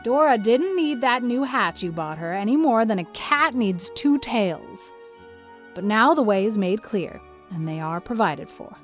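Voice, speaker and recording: A woman playing a stern, practical older woman guardian, brisk and matter-of-fact, in an audiobook drama. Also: fairly high pitch, lively intonation, faint music behind. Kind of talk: audiobook drama character reading.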